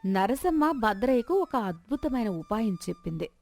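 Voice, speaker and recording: A woman's voice: a little high, very animated, moderate pace, a little mellow. A woman narrator reading a scripted moral story, with music behind her. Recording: background music bed.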